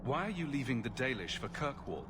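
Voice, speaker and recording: Wry, lively-pitched adventurer hero played by a man. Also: a little fast, background noise, lightly acted. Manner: dry, direct, questioning. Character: wry, self-assured human adventurer hero, adult man. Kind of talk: fantasy game dialogue.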